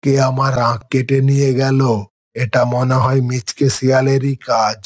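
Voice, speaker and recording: A man's voice: medium pitch, level tone, normal speed, dry recording, natural voice. A man voicing a bossy, angry tiger farmer in a cartoon, scolding and exclaiming. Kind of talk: cartoon voice acting.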